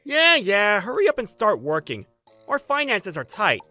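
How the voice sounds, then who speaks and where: Woman as a scornful manga husband, bright and forceful. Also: medium pitch, huge pitch swings, moderate pace, soft background music, pitched below the performer's own voice. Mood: impatient. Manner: sarcastic, belittling. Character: dismissive, scornful husband of exhausted young mother. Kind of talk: manga dub acting.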